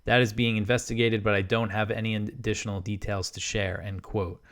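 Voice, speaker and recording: A man hosting a news podcast, reading reports in an informal way with halting off-the-cuff asides. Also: lowish voice, flat delivery.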